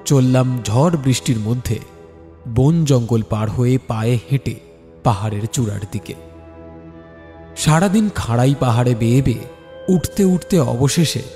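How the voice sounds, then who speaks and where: A man's voice: medium pitch, warm tone. A man narrating a scripted story in the first person, in a dramatic storytelling style. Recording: long studio reverb, music behind. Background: background music.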